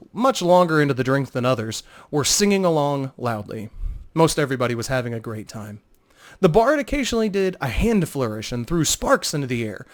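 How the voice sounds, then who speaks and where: A man with a mid-pitched voice serves as narrator of a fantasy tale. He reads scripted prose in a dramatic storytelling style.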